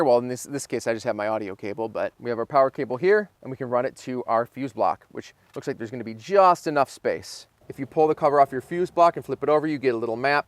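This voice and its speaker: Man, mid-range voice, casual hands-on tutorial, informal, thinking out loud while working. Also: medium pitch, dry recording. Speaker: host of a car installation how-to channel. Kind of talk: casual hands-on tutorial, talking through the work.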